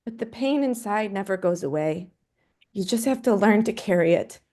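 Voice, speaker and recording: A woman's voice: medium pitch, animated, moderate pace, dark tone, natural voice. A woman playing a grieving but resilient mother, earnest and tender, in a dramatic table read. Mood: sorrowful. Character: grieving mother, tender and resilient toward her estranged husband.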